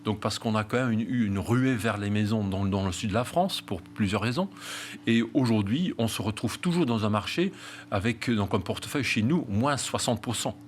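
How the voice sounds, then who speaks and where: Man, flemish accent, low voice, middle-aged, hesitant, lots of fillers, faint background noise. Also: expressive, moderate pace. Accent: Belgian Flemish. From Belgium (Flanders). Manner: hesitant, many fillers and restarts. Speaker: real estate company founder. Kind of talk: interview answers.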